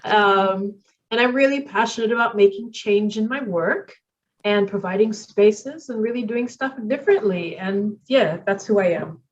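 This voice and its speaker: A woman's voice: mid-range voice, normal speed, recorded from a distance, highs cut off. A woman introducing herself in a workshop on a video call, bemused and laughing between phrases. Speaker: workshop participant.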